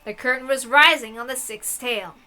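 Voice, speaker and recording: A woman's voice: high voice, brisk, sharp tone, soft background music. A woman hosting a game playthrough, reading text aloud and adding playful, casual asides. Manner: playful, giggly, swearing asides.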